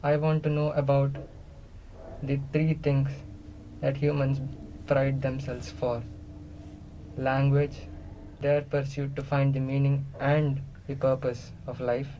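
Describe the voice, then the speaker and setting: A man playing a captive, all-knowing non-human being in a radio play, curious and literal, speaking in halting, deliberate pieces. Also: mid-range voice, little pitch movement, warm tone, muffled recording, a little hiss or hum, close to an everyday voice. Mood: earnest. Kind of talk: semi-improvised radio play dialogue.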